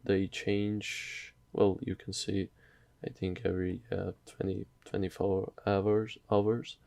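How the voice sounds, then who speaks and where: Man, tutorial host, low flat voice, dark mellow tone, halting casual delivery. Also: gravelly, dry recording. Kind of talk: casual screen tutorial narration.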